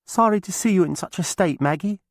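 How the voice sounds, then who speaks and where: A man's voice: high voice, brisk, no echo, studio quality, pitched above the performer's own voice. A man voicing an earnest young witch girl with plain country speech.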